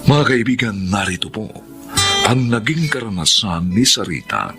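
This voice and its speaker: Very deep, solemn Filipino male radio narrator. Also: sing-song, normal speed. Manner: solemn, theatrical. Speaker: narrator and announcer. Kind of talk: dramatic scripted radio drama narration. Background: guitar music.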